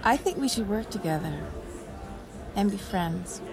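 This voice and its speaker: A woman's voice: mid-range voice, expressive, unhurried. An actress playing a brash, flirty woman who teases bluntly, in a naturalistic audio drama. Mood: friendly. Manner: blunt, teasing, crude-humoured.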